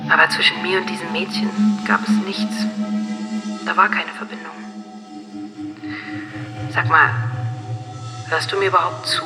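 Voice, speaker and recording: Female AI, soft and breathy, coaxing, regretful, eerily polite, radio drama, long reverb, music behind. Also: on the low side, steady tone, measured pace, pauses often. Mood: confessional. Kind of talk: German radio drama acting.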